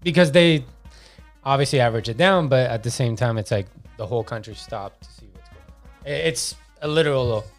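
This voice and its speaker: Podcast guest, male, 30s, from Argentina, medium pitch, slangy casual talk over faint music. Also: fairly quick.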